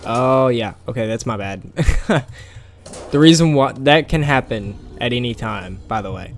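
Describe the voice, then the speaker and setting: A man's voice: mid-range voice, expressive, a little slow. A man with a scratchy, tired voice, talking casually through a game and rambling with plenty of fillers. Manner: rambling, with many fillers and small laughs. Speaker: gameplay commentator.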